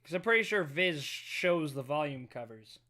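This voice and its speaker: A man's voice: on the high side, lively intonation, normal speed, bright, forceful tone. A man streaming a casual live read-through of manga, reacting and commenting as he goes. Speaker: streamer reading manga aloud.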